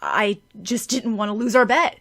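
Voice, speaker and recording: A woman's voice: mid-range voice, moderate pace, bright, forceful tone. A woman voicing a bashful, earnest schoolboy with a hesitant, stammering manner in an audiobook. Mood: flustered, sincere. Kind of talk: audiobook character voice.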